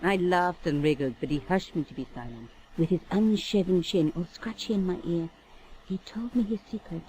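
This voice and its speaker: A woman's voice: on the low side, normal speed, warm tone, a little hiss or hum, natural voice, low-quality recording. An actress playing a self-assured, knowledgeable young woman journalist in a video game, speaking in a matter-of-fact storytelling way. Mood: wistful storytelling.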